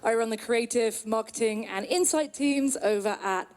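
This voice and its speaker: A British woman in her thirties, from the UK, giving an upbeat presentation at a trade conference with some filler pauses. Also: fairly high pitch, a little mellow. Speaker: conference speaker. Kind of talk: presentation talk.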